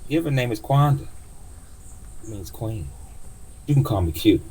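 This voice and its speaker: A man's voice: lively intonation, normal speed, dark tone, husky, low-quality recording. A man voicing an older woman taxi driver, mystical and sassy, who calls everyone darling in a drawling, folksy way. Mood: brisk. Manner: drawling, folksy sayings, high put-on voice.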